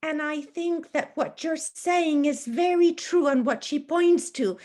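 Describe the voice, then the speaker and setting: A woman offering a careful, deliberate personal reflection as a participant in a group discussion. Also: high voice, warm tone. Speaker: book group participant, food pantry volunteer. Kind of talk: measured personal anecdote and reflection.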